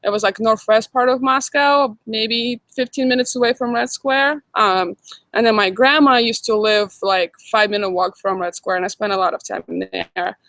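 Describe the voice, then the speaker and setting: A woman's voice: a little high, fairly even intonation, normal speed, fairly bright tone, no echo. A young woman from Russia with a Russian accent, hosting a casual livestream Q&A and chatting as she answers viewer comments. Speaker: livestream host. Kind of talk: casual live chat Q&A.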